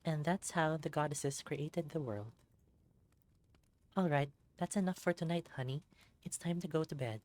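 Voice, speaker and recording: Man putting on a gentle, tired mother fox, pitched up. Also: normal speed, long pauses, close to an everyday voice. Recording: music under the voice.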